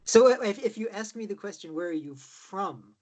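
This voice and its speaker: Older American-accented man, husky and a bit high-pitched; loose, rambling interview guest. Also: lively intonation, normal speed, darkish tone. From born in Chicago, raised between the US and Ireland. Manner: rambling, with frequent restarts and repeated words. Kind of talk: casual conversational interview.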